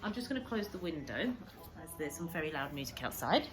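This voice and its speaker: Low-voiced woman reading a story, echoey room. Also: very animated, fairly quick. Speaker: teacher reading a story to pupils. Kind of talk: expressive storybook narration. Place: indoor room with a window. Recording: echoey room with faint background noise.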